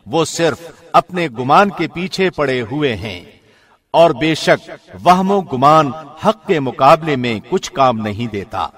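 A man reads a scripture translation in the measured, formal delivery of a radio presenter. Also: on the high side, expressive, a bit bright. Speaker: translation reader, radio host.

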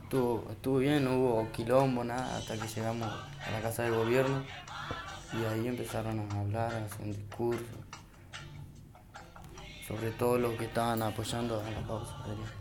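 Man, Argentine accent, flat intonation, casual radio panelist, prominent background music. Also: mid-range voice, moderate pace.

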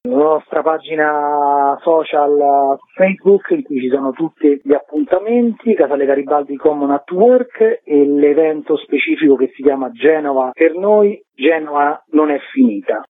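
Higher-voiced man on a thin phone line. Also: a little slow, few pauses. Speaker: interview guest, theatre project organiser. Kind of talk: explanatory phone interview. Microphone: telephone. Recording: thin band-limited phone line on radio.

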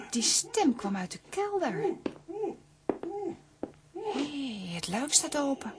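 A woman's voice: sits high, background noise, heavily acted. A woman playing a spirited, stubborn, bossy little girl who exclaims her way through a children's radio play.

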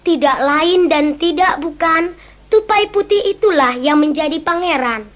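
A woman narrating a children's story, animated and speaking to listeners as friends. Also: high-pitched, measured pace.

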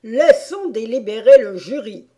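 A woman's voice: a little high, expressive, a little slow, warm tone, voice pushed up. A woman voicing a pompous, self-satisfied king of playing cards in a storybook, speaking in grand declarations. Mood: smugly conclusive.

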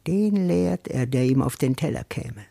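An actor playing a grumbling, cowardly, hungry manservant in a classical verse radio drama, with theatrical delivery. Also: male voice, medium pitch, animated, a little slow, vocal fry, dark tone, heavily acted. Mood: bitter grumbling. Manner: theatrical, muttering then exclaiming.

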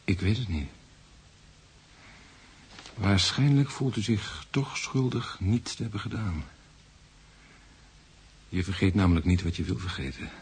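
A calm, analytical doctor in a radio play. The voice is low and measured, with a formal clinical manner. Faint noise lies under the recording. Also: male voice. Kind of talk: Dutch radio drama acting.

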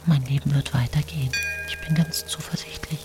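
A woman's voice: low voice, steady tone, brisk, over music, natural voice. Whispering, secretive mother played by an actress. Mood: quietly confident. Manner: hushed, breathy whispering. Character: grieving mother hiding a cold, murderous satisfaction. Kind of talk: intimate first-person radio drama monologue.

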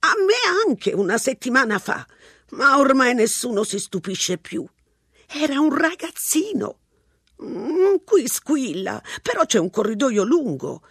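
Very high, slow-drawling old woman, rambling and chatty, husky, dry sound. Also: sing-song, fairly bright tone, no room sound, heavily acted. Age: older. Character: scruffy garrulous old woman. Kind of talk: audiobook narration.

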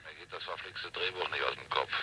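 An irritable screenwriter in a vintage radio thriller. He grumbles bluntly in a deep voice, over clearly audible noise. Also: brisk. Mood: grumpy, irritated. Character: grumpy, irritable screenwriter trying to sleep. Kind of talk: 1960s German radio play acting.

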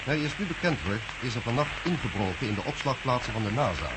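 An actor playing a serious radio newsreader, reading bulletins formally and impersonally. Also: male voice, mid-range voice, animated, fast talker, very noisy, lightly acted. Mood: formal, neutral. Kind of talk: scripted radio news reading.

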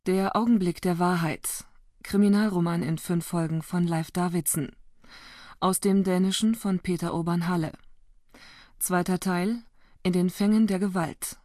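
Low, unhurried female radio announcer. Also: darkish tone, no echo, clean studio sound. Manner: measured, formal. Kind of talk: scripted credits announcement.

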